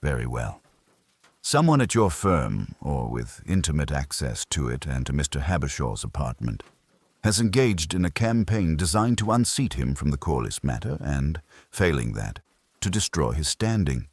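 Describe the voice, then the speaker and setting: A man's voice: rumbling low, sing-song, normal speed, slightly rough, no room sound, full character voice. A man voicing an imperious, brooding detective genius in an audiobook, formal, curt and given to pronouncements. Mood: imperious, stern. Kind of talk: audiobook character dialogue.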